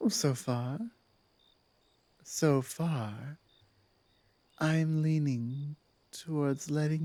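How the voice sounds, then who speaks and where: A female werewolf pack leader, acted by a woman speaks in an intimate ASMR audio drama. She is earnest and deliberate, picking her words slowly and haltingly. Her authority has softened into careful sincerity, and the sound is dry and close. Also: low-pitched, no room sound. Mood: earnest, probing. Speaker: audio roleplay performer. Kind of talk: intimate ASMR roleplay acting.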